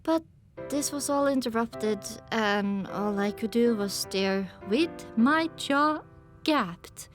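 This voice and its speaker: A woman's voice: fairly high pitch, takes it slowly, over music. A woman reading scripted first-person story narration as an audiobook narrator.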